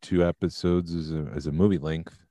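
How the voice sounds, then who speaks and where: Man, very deep voice, podcast co-host, casual musing talk, dry close sound. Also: steady tone, a little fast, warm tone, no room sound. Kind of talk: casual chat about films.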